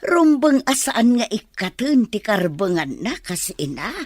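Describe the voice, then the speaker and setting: Woman acting an expressive, deferential older woman. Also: mid-range voice, measured pace, dry recording, clean studio sound. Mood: earnest, respectful. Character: older woman, deferential, earnest confidante to her boss. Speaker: voice actor in an audio drama. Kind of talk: Filipino radio-drama acting in Ilocano.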